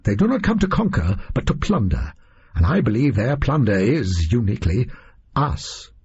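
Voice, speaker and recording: A British man voicing an alert, analytical Victorian detective in an audiobook, speaking in hushed, clipped deductions. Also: medium pitch, big pitch swings, normal speed, husky, exaggerated voice. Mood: grave deduction. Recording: dull, lo-fi audio.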